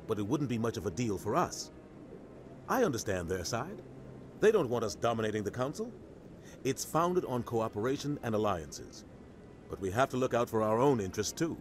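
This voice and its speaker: An actor voicing a seasoned, pragmatic military officer in a video game, explaining with measured authority. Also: male voice, mid-range voice, big pitch swings, a little hiss or hum, natural voice. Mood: pragmatic, firm. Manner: matter-of-fact, authoritative explaining.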